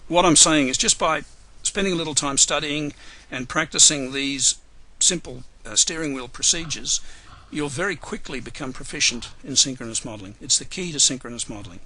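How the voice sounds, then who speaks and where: A man giving a live software tutorial webinar, hoarse and losing his voice, talking unhurriedly with many fillers and restarts. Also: a little high, brisk, few pauses, rough recording. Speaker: software trainer.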